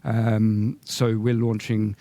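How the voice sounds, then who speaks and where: A man speaking as a company executive, answering questions on a business call in measured corporate language, with hesitant repetitions. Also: fairly low pitch, level tone, mellow.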